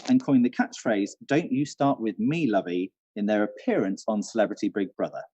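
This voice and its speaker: A man's voice: on the low side, a little fast, mellow, slightly rough, dry recording. A man hosting a casual live quiz from home, chatty and repeating questions for listeners, on a dull livestream recording. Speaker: quiz host, theatre venue manager.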